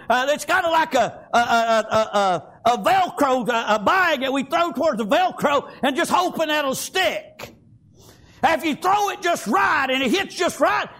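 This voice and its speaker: A man's voice: extremely high pitch, a bit bright. A man preaching an extemporaneous church sermon in a raised, strained voice, impassioned and punctuated with short exclamations of praise.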